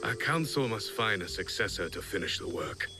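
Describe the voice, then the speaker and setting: An actor playing a proud, forceful samurai lord in a game cutscene, with a gravelly voice and declamatory authority. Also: male voice, on the low side, expressive, normal speed, over music. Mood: triumphant. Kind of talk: dramatic video game cutscene acting.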